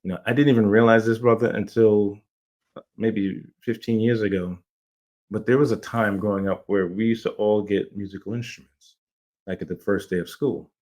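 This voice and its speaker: A middle-aged man from Jamaica who grew up in New York talks as a guest on a podcast. His voice sits on the low side, and he rambles with eager restarts as he tells stories from his youth. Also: fairly even intonation, normal speed, a little mellow. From Jamaica, raised in New York. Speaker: playwright, guest. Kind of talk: casual interview storytelling.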